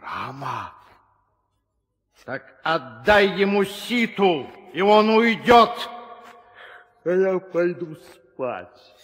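Casting: lumbering, drowsy demon brute, bloodthirsty, slow, slightly high voice, reverberant radio drama. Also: male voice, sing-song, exaggerated voice. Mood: sleepy, reluctant. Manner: slow, grumbling. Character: sleepy, bloodthirsty giant male demon, lazy and grumpy. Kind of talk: old radio play acting. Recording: long reverb, old studio drama recording.

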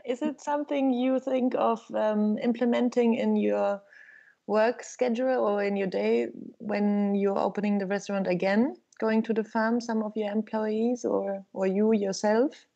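Mellow young woman on dull call audio. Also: medium pitch, fairly even intonation, unhurried, creaky. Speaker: interviewer. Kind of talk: interview questions.

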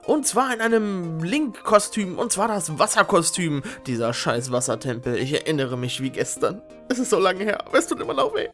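A man's voice: high voice, big pitch swings, normal speed, hardly any pauses, a bit bright. A man presents a game show in a joking, exclamatory way. His commentary is theatrical and playful, with music playing behind him.

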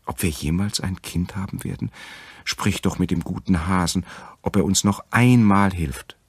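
A man voicing a choosy, discontented young woman in a fairy tale, with a husky voice. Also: low voice, moderate pace, no echo, pitched above the performer's own voice. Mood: wistful.

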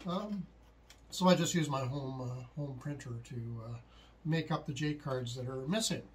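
A middle-aged man giving a casual, unscripted how-to explanation, halting and thinking aloud as he goes. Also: mid-range voice. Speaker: hobbyist host of a DIY tutorial. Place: small indoor home workshop at a desk, later at a computer.

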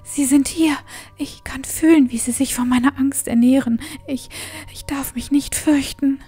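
A woman's voice: high-pitched, fairly even intonation, measured pace, warm tone, faint music behind. An actress playing a frightened middle-aged mother in a fantasy audio drama, pleading fearfully and breathily for her family. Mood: terrified, pleading.

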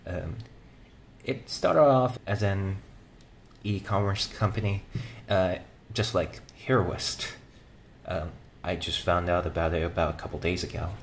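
A man speaking haltingly in an informal aside to viewers as a video creator. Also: low-pitched, steady tone. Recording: clear ambient background noise.

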